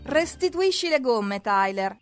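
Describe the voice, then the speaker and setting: A woman with a high voice narrates an animated show for small children. Her delivery swings widely in pitch and is exclamatory and expressive, addressing the characters directly. Music plays behind her. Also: a little slow. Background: background music.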